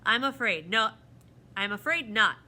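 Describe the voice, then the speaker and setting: A woman's voice: fairly high pitch, animated, measured pace, sharp tone, a little hiss or hum, heavily acted. A woman voicing a stern, pompous male official who snaps and scolds in a firm, emphatic storybook manner.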